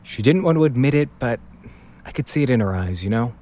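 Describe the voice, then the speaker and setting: A man's voice: medium pitch, lively intonation, brisk, darkish tone, natural voice. An actor playing a wistful young man recounting a past road trip in a hesitant, conversational monologue for an audio drama. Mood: confiding. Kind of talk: audio drama narration, informal confiding monologue.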